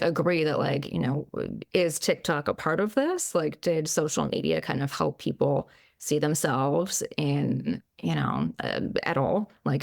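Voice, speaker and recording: Chatty woman with a gravelly edge. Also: sits low, dry recording, clean studio sound. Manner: talkative, many fillers like "like" and "you know". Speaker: psychologist guest. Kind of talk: casual podcast conversation.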